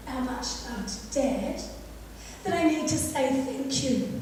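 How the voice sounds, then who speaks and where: Hoarse woman giving a eulogy in an echoing hall. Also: high-pitched, dark tone, far from the mic, a little hiss or hum. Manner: composed, reflective, wry. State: hoarse, losing her voice. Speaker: eulogist, eldest daughter. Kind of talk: funeral eulogy. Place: church at a funeral service. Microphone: microphone at a lectern. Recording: echoey hall, picked up from afar.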